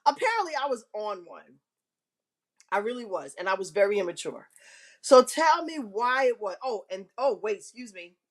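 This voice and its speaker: A woman hosting a live online show, telling a candid personal story to her viewers in a big, carrying voice, frank and self-mocking, often clearing her throat. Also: medium pitch, sing-song, normal speed.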